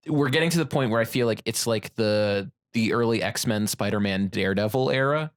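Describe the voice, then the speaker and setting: A man co-hosting a podcast in casual film-discussion chat, animated and rambling, peppering his talk with "like". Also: medium pitch. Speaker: podcast co-host.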